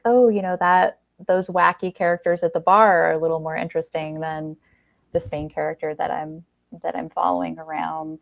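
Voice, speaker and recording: A woman's voice: sits low, gravelly, dry recording. A woman hosting a podcast, chatting casually in an enthusiastic, affirming way as she interviews a guest. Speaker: podcast host.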